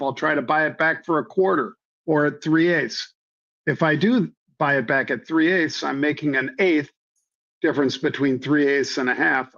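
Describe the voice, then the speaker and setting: A man with a slightly hoarse voice, a trading guest explaining things in a folksy way with many ums and repeated phrases. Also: a little high. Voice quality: hoarse from years of floor yelling. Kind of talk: conversational explanation. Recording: dull lo-fi audio.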